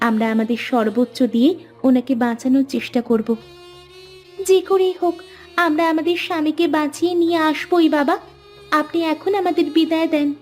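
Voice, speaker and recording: A woman's voice: sits high, brisk, darkish tone, natural voice. A woman playing a devoted, brave young village wife in a cartoon moral tale, speaking in an earnest, dramatic way. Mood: earnest, resolute. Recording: music under the voice.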